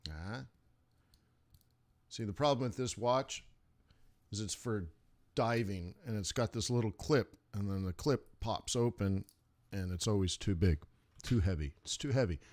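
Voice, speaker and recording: A man's voice: lowish voice, unhurried, long pauses, darkish tone. A middle-aged man hosting a live trading stream, talking casually in rambling asides and laughing often. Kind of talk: casual rambling livestream talk.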